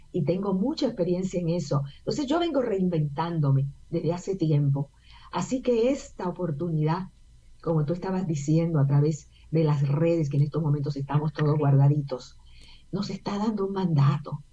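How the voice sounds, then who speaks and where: A woman's voice: lowish voice, warm tone, breathy edge. An older woman from Puerto Rico with a Puerto Rican Spanish accent, an actress and singer chatting as a guest, telling her story with lots of fillers. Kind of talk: casual interview talk. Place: home room via video call. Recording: dull lo-fi video call audio.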